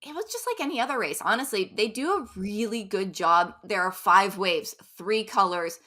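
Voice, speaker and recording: A woman coach chatting in an upbeat, easygoing way. Her voice is bright and forward, with quick asides. She sounds like she is talking through her race experiences with a friend. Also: medium pitch, animated. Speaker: running coach. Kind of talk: casual interview chat.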